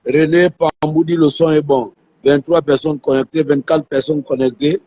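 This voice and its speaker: A man hosting a live stream from an airport on his phone, hoarse and coughing from a flu, repeating himself to his viewers. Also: a little high, fairly even intonation, moderate pace, mellow. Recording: muffled phone audio.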